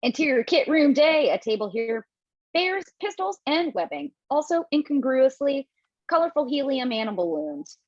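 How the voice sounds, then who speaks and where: Woman narrator with a higher-pitched voice. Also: very animated, normal speed, dry recording, dull audio. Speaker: narrator reading stage directions. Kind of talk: scripted narration at a table read.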